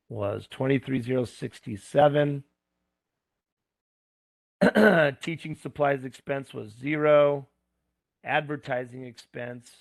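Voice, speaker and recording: Gravelly man walking through homework. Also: medium pitch, no room sound. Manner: plain step-by-step talk with asides and fillers. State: going hoarse. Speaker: instructor. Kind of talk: screen-recorded homework walkthrough.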